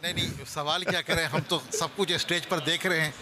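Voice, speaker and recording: A man's voice: on the high side, fairly even intonation, fairly quick, a crowd in the background. A man in the audience of a live seminar making courteous, appreciative remarks. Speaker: audience member. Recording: some background noise, live event ambience.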